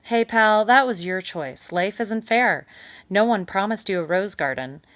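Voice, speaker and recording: A woman voicing a big male beaver, a hearty, smooth-talking schemer in a read-aloud storybook. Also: animated, a little slow, fairly bright tone, no room sound. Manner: jovial, booming, salesman-like.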